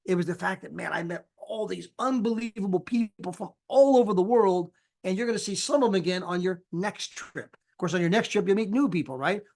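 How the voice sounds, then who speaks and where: High-voiced man giving a lively sales pitch. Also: brisk, gravelly. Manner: animated, acts out quoted people with big pitch jumps; casual, folksy phrasing. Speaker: sales presenter. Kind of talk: energetic sales pitch on a live call.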